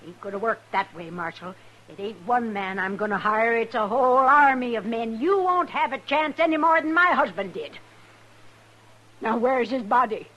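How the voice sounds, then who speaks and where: An actress playing a hard, defiant, vengeful frontier widow in a 1950s radio western, with blunt, accusing, folksy rural speech. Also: female voice, a little high, animated, moderate pace, a bit bright, poor audio. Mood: bitter, threatening.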